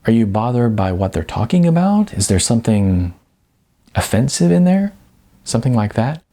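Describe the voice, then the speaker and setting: A man's voice: mid-range voice, sing-song, moderate pace, dark tone. A man voicing a timid, apologetic young woman with a hesitant, stammering manner in an audiobook. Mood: earnest, coaxing. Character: Jasmine, timid young woman, apologetic, candid, eager to smooth things over. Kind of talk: audiobook character voice.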